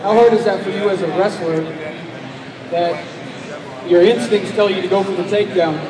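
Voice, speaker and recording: A man's voice: sits high, fairly even intonation, moderate pace. A man hosting a live event demonstration, putting questions to a guest and making announcements to the audience. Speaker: demo host. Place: large indoor expo hall. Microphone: distant handheld camera. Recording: echoey expo hall, distant, crowd noise.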